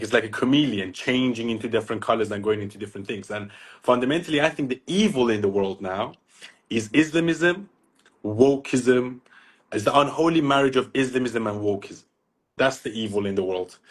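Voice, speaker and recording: A man's voice: mid-range voice, breathy edge. A man from Iran who grew up there, speaking as a guest on a call, emphatic and opinionated, stretching key words. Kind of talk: opinionated casual interview talk. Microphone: phone on a video call.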